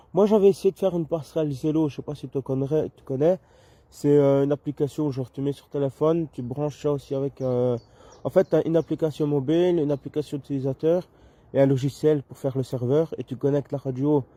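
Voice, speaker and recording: A man with a Belgian French accent explaining technical things casually, in a halting, hesitant way. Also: mid-range voice, dark tone, dry recording. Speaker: amateur two-way radio user.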